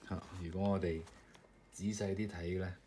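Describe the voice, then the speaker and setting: A man with a low voice talks through an assembly in a loose, step-by-step way, with plenty of fillers. Steady noise and the click of plastic parts run under his voice. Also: normal speed. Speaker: maker demonstrating his product. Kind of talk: casual hands-on assembly demonstration.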